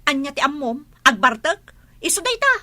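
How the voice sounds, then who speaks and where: A woman's voice: high voice, sing-song, normal speed, pushed and bright, no echo, full character voice. A woman acting in a radio drama as a fed-up wife scolding her drunkard husband, nagging and sarcastic. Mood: scornful, scolding.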